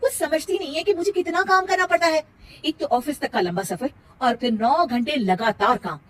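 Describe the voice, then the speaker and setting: A woman's voice: sits high, moderate pace, slight background noise, pitched above the performer's own voice, close to an everyday voice. A woman voicing an overworked young working daughter-in-law in a family cartoon, in a dramatic, exasperated manner. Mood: complaining.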